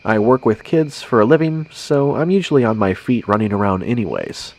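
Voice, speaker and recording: A man's voice: medium pitch, lively intonation, brisk, a little mellow. A man reading a first-person scary story in a conversational narration style.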